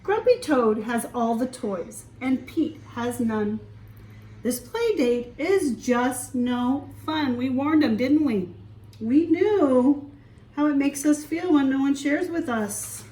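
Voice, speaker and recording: A woman storytime teacher reading aloud to preschoolers, expressive, with questions for the young listeners. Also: sits high, takes it slowly, echoey room, far from the mic, low-quality recording.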